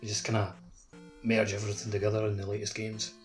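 A man's voice: on the low side, completely flat delivery, quick pace, soft background music. A man co-hosting a casual podcast chat, using a loose, filler-heavy conversational phrasing. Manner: peppers speech with "like".

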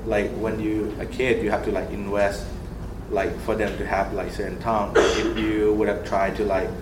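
A young man with a Thai accent speaks earnestly and animatedly, with a fairly low voice. He is sharing experiences in a group and fills his talk with "like" and "um". The recording is echoey, with clear ambient noise behind him. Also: normal speed. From Thailand. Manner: earnest, animated, laughs often, many fillers. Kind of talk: personal sharing in a group discussion. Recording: roomy echo with clear background ambience.

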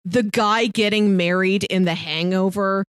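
A woman co-hosting a podcast, chatting casually about films in an animated, amused way. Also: medium pitch, measured pace, clear, resonant tone, no echo, studio quality. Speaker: podcast co-host. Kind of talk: casual film discussion chat.

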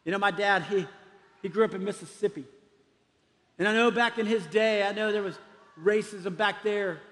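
A middle-aged pastor from Texas delivers a sermon in a high male voice. He is emphatic and urging, often repeating a phrase to drive it home. The sound rings with long hall reverb. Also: moderate pace, fairly bright tone. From Texas, United States. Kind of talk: sermon to a congregation.

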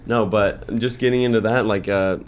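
A man's voice: fairly low pitch, fairly even intonation, moderate pace, clean tone. A young man co-hosting a casual podcast, telling stories in a rambling way with many fillers. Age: young adult. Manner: rambling storyteller, filler-heavy. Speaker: podcast co-host. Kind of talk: casual chat.

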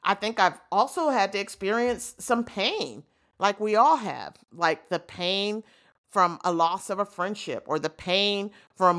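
A woman in her fifties hosting a podcast, talking reflectively and telling stories with easy asides. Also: on the low side. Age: 50s. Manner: warm, reflective storytelling with frequent "you know" asides. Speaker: podcast host. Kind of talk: reflective solo monologue.

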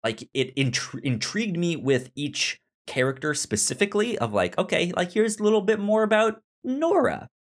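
A man co-hosting a podcast in casual discussion, halting and often stammering over repeated words. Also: high voice, big pitch swings. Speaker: podcast co-host reviewing a TV series.

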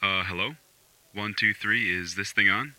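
A man acts out a brisk doctor dictating an official log in an audio drama. The voice is very deep yet extremely sharp and forceful, with a clipped, procedural manner. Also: low-quality recording. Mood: businesslike. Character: brisk, businesslike male doctor logging a recording.

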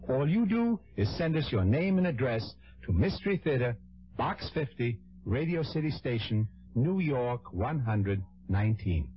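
A man's voice: mid-range voice, very animated, measured pace, breathy edge. A man reading a scripted promotional announcement as a radio announcer. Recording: dull vintage radio broadcast.

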